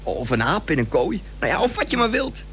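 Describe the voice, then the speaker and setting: A man's voice: on the high side, big pitch swings, fairly quick, fairly bright tone. A man playing a headstrong, earnest boy who pleads to go to sea, in a Dutch radio play. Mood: eager, earnest. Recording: old radio play with faint hum.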